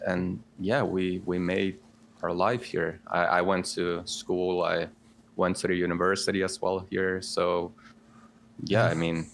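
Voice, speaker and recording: Bosnian guy in his 30s, super low gravelly voice, chats loose with lots of uhs, bit of hiss behind. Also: measured pace. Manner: relaxed, rambling with many fillers and restarts. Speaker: guest content creator. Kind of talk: casual podcast interview.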